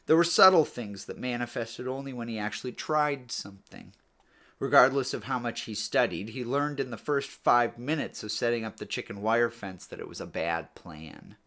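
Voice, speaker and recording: A man narrating a story in a measured read-aloud style, letting his phrases fall away at the ends. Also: mid-range voice, pushed and bright. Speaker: author or reader narrating a book read-aloud.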